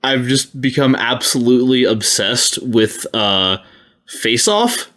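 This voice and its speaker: A man's voice: medium pitch, slow pace, a bit bright. Profane, emphatic male podcast co-host. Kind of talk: casual panel chat.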